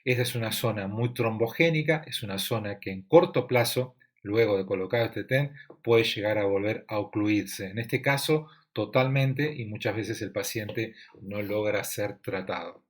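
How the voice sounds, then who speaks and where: A man with an Argentine accent giving a technical medical presentation over slides, explaining in a steady way with frequent fillers. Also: lowish voice, moderate pace, hardly any pauses. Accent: Argentine Spanish. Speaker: lecturer at a medical imaging congress.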